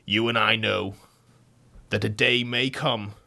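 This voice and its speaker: Brief: man playing a pompous king figure, booming, rallying speech, slow and grand. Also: vocal fry, sharp tone, exaggerated voice. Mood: rousing. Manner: bellowing, rousing, theatrical. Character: hypnotised man as a bombastic king.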